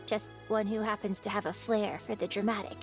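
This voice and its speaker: A woman's voice: mid-range voice, level tone, a little fast, music in the background, close to an everyday voice. A woman playing a poised young stage performer in a video game, friendly and playfully dramatic, speaking in a composed, articulate, theatrical way. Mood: teasing, warm.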